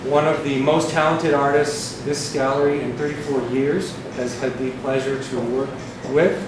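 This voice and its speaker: A man's voice: mid-range voice, little pitch movement, normal speed. A man hosting at a gallery, giving a formal introduction of a guest artist. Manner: formal, ceremonial. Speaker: gallery host. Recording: echoey gallery, distant and noisy.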